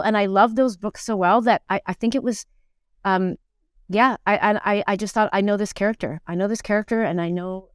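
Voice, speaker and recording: Smooth, clear-voiced middle-aged woman. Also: medium pitch, no room sound, clean studio sound. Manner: animated, rambling, self-deprecating, quotes people as she tells stories. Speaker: interview guest, musical theatre performer and teacher. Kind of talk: casual conversational storytelling.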